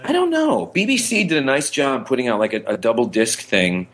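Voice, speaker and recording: A man's voice: mid-range voice, lively intonation, fairly quick, fairly bright tone, slight background noise. A man co-hosting a podcast in casual, enthusiastic pop-culture chat, rambling and often interrupting himself. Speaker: podcast co-host.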